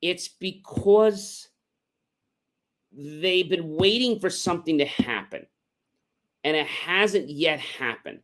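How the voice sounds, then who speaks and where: A man's voice: on the high side, expressive, slow pace, pauses often, a bit bright. A man hosting a motivational livestream, talking in a casual, rambling, conversational way with emphatic asides. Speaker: livestream host, motivational speaker.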